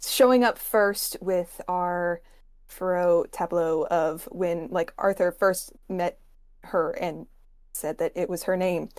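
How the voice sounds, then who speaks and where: A woman's voice: on the low side, slow pace, a little mellow, clean tone, no echo, clean studio sound. A woman guesting as a music expert, explaining things in a casual, enthusiastic way.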